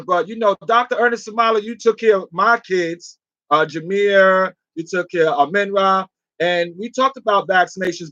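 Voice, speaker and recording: A male host asks his guest short prompting questions. His voice is high and bright, and the recording is dry and a little dull. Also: no room sound. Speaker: interview host. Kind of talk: interview questions.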